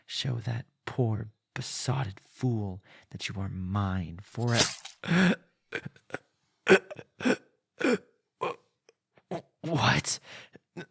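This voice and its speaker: A man's voice: on the low side, animated, creaky, background noise, exaggerated voice. A man playing a sinister, arrogant vampire lord in an ASMR roleplay, possessive and commanding. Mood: shocked, desperate. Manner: commanding, drawn-out, menacing.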